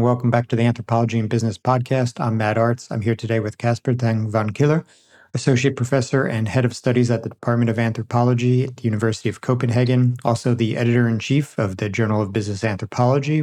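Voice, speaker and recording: A man hosting a podcast, reading a measured, scripted intro and then welcoming his guest. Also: medium pitch, fairly even intonation, darkish tone. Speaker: podcast host.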